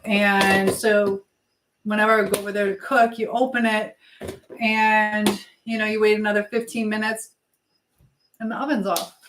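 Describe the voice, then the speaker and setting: A middle-aged woman chats casually on a livestream at home. Her voice sits at an average pitch for a woman. She rambles from one thing to the next, reading out and answering comments as she goes. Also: level tone, normal speed, long pauses, fairly bright tone, slight background noise. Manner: rambling, chatty, hops between topics and viewers. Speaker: livestream host. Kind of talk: casual live chat, answering viewer comments.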